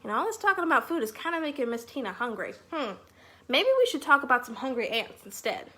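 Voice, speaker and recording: High, animated woman hosting children's storytime. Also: quick pace. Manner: animated, sing-song, addresses young children. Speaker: library storytime host. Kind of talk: children's storytime, read-aloud and chat.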